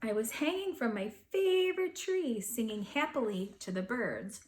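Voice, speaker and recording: A woman's voice: on the high side, expressive, normal speed, natural voice. A woman voicing a small, indignant, cunning furry beast who tells his storybook tale dramatically. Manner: dramatic, aggrieved storybook telling.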